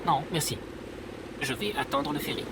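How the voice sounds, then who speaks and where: A woman's voice: deep voice, normal speed, heavy background noise. A woman playing a stubborn, literal-minded droid in an audio drama. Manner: flat-worded, obstinate, literal. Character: glum, stubborn old droid fixed on its programme.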